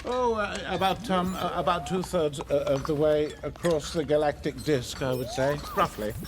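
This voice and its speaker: An actor playing an elderly, fussy alien starship captain in a radio drama, grave and halting. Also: male voice, on the high side, a crowd in the background, close to an everyday voice. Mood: flustered, hesitant.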